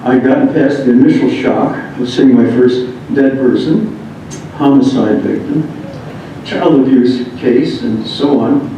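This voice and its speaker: A man reads a written first-person memoir aloud, halting at times. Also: warm tone. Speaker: reader of a memoir. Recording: muffled, very echoey room, distant mic, rumbling noise.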